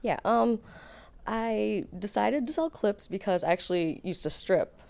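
A woman's voice: mid-range voice, measured pace, creaky, a little mellow. A woman with a Michigan accent, chatting casually as an interview guest. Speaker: interview guest, adult content creator.

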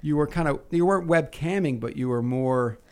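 A man's voice: a little high, fairly quick, smooth. A man hosting a casual interview show, joking and teasing in a crude way. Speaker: show host.

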